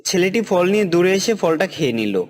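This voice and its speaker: Lively storybook narrator, man. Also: high voice, brisk. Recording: music playing behind.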